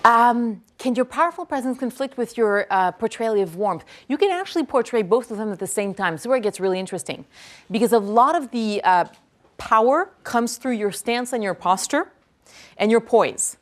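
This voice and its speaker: A woman whose first language is French, speaking at a university lecture hall, energetically repeating and answering questions from the audience. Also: a little high. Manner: energetic, quick to praise, repeats each question aloud.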